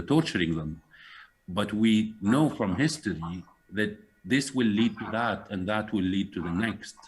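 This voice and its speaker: A man from Syria, speaking as a guest in a panel conversation in an earnest, measured way, often pausing to find his words. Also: fairly low pitch.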